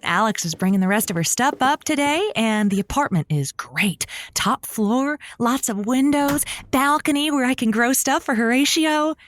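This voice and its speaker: A woman's voice: high-pitched, animated, normal speed, few pauses, slight background noise. An actress playing a flirty, pun-loving woman who hosts a lonely-hearts radio show, with playful theatrical delivery. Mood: happy, relaxed. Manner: theatrical, punning, endearments to listeners. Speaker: radio host. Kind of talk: playful scripted radio-host patter.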